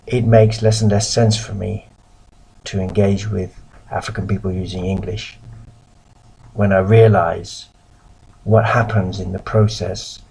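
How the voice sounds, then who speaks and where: A middle-aged man from the UK giving a recorded lecture as a missionary, measured and pausing often. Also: low voice, creaky, darkish tone, husky, rough recording. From United Kingdom.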